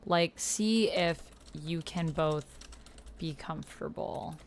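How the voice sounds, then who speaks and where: Low-pitched woman, chatty livestream host. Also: slow pace, a little hiss or hum. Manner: informal, chatty, thinking aloud. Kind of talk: casual livestream chat, reading chat and on-screen text aloud.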